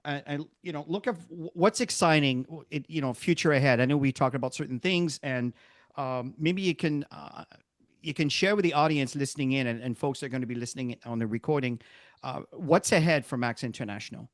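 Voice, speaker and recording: A man's voice: medium pitch, a little fast, no echo, clean studio sound. Hesitant man hosting a video call. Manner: hesitant, many fillers. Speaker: call host.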